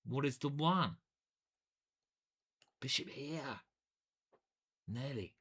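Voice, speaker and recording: A man's voice: medium pitch, expressive, very slow, fairly bright tone, husky. Playful chatty man commentating chess. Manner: playful and chatty, hums and sings little jingles. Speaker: chess grandmaster commentating his games. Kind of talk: casual game commentary.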